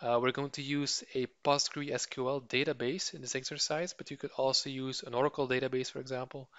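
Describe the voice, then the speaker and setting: A male instructor talks through course slides in a steady, explaining way, with a flat, even pitch line. His voice is smooth and clean, recorded close and dry. The sound is slightly dull and lo-fi. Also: fairly quick, dry recording. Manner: steady explanatory delivery with occasional fillers. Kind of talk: slide-based course introduction. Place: voice-over for slides.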